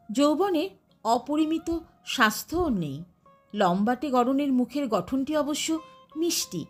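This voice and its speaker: A woman reading a literary story aloud as an expressive audiobook narrator. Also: high voice.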